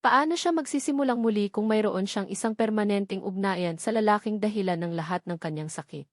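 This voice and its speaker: A woman narrates a romantic drama in a mid-pitched voice. Her phrasing is solemn and deliberate, and the sound is dry and close. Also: no echo. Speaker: storyteller. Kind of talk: dramatic scripted love-story narration.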